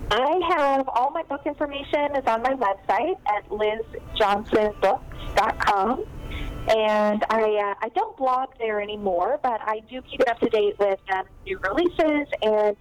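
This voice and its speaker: A woman's voice: on the high side, rough recording. Chatty woman author. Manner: chatty with many fillers, laughs often. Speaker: guest author. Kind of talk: casual chat.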